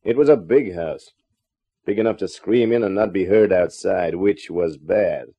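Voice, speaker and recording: An actor playing a wisecracking, sardonic private detective with slangy, terse delivery in a 1950s radio crime drama. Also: male voice, low-pitched, measured pace, mellow, breathy edge, heavily acted. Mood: terse narration. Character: wisecracking, hard-boiled male private detective. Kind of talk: 1950s radio detective acting with first-person narration. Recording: dull old radio recording.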